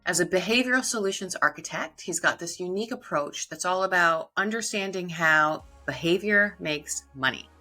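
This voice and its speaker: A woman's voice: on the low side, animated, normal speed, hardly any pauses, pushed and bright, soft background music. A woman hosting a podcast, upbeat and enthusiastic, reading a scripted intro and then chatting with her guest. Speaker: podcast host.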